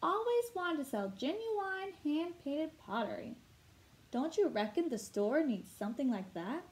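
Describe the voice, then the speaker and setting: A woman's voice: fairly high pitch, big pitch swings, moderate pace. A woman reading a storybook aloud as a kindly, folksy old male shopkeeper with a coaxing way of talking. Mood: warm, encouraging.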